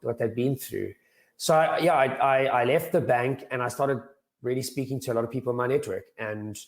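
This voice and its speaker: A young man with a slightly croaky voice, answering interview questions earnestly and often repeating words as he starts sentences. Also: fairly low pitch, rough recording. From South Africa. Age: young adult. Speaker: guest financial planner.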